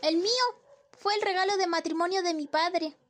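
A woman's voice: very high-pitched, normal speed. A young woman, a student actor, playing a clingy, melodramatic wife in an amateur radio drama. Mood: pleading. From Chile. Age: young adult.

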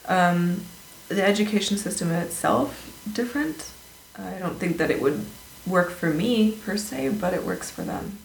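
A woman chatting casually as a guest, telling animated stories about her teaching days and laughing easily. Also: fairly low pitch, normal speed, gravelly, hardly any pauses. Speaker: guest, former English teacher. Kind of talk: casual conversational storytelling. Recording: echoey room with faint noise.

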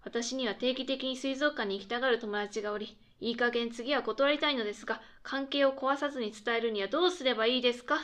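Woman acting as cheerful female radio host, bright forceful tone, fairly high, polite, playful quick retorts, radio drama. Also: lightly acted. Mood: bright, presenting. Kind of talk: scripted comedic late-night radio chat.

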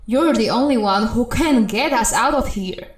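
A woman's voice: fairly high pitch, expressive, moderate pace, roomy sound, close to an everyday voice. A woman playing an urgent, exasperated spaceship systems technician in a farcical audio comedy.

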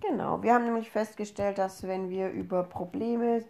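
Female podcast co-host, early thirties, from Upper Franconia, medium pitch, conversational delivery. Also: steady tone, moderate pace, creaky, mellow. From Upper Franconia, Germany. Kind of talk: casual conversational podcast talk.

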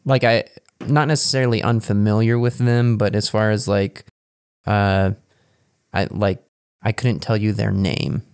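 A man co-hosting a casual podcast, with a low voice and a gravelly edge. He talks with easy enthusiasm and rambles through fillers and restarts, recorded close and dry. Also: fairly even intonation, measured pace, darkish tone, dry recording. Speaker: podcast co-host.

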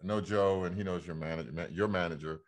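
A man hosting a casual interview, speaking hesitantly with frequent pauses and restarts as he works toward his questions. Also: low voice, fairly even intonation. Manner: hesitant, halting, many fillers and restarts. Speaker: interview host.

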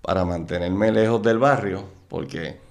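A middle-aged Puerto Rican man, a guest on a talk show, telling stories from his youth in a relaxed way and laughing often as he remembers. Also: sits low, unhurried, vocal fry. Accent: Puerto Rican Spanish. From Puerto Rico. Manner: laughs often while recalling old times. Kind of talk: casual storytelling interview.

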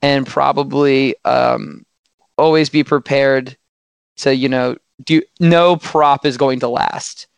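A man with a slightly hoarse voice, chatting casually on a podcast with many restarts and fillers. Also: medium pitch, steady tone, slow pace, no room sound.

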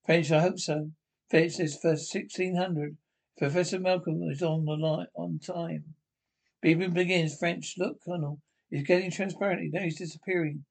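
High-pitched man haltingly reading a script. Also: steady tone, creaky, low-quality recording. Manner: halting, stumbling over words and repeating them; reads speaker names aloud along with the lines. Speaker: amateur script reader. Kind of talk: reading a radio drama script aloud.

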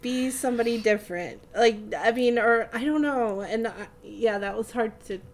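Podcast co-host, female, slightly high-pitched, reflective and hesitant, feeling out her words in relaxed conversation. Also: normal speed.

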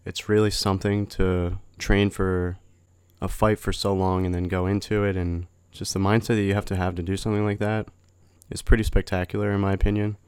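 A young man hosting a podcast, sounding congested from a cold, chatty and relaxed, laughing often. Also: low-pitched, brisk, smooth, no room sound. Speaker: podcast host. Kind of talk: casual podcast chat.

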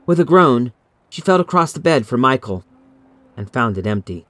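A man reading a scripted horror story in a measured, dramatic, suspenseful way, as an audiobook narrator. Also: mid-range voice, sing-song, a bit bright, dry recording.

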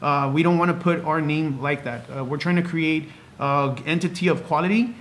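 Talkative guy in his 30s, kinda high voice, casual podcast guest, bit of rumbly noise behind him. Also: normal speed. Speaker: podcast guest, clothing brand co-owner. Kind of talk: casual chat.

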